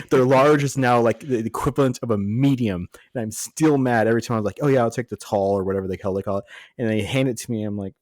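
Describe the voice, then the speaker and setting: Give the voice of an American man in a casual, comedic podcast conversation. His pitch is average and he rants with mock exasperation. The recording is dry, with very little room sound. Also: quick pace, darkish tone, no room sound. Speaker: podcast co-host.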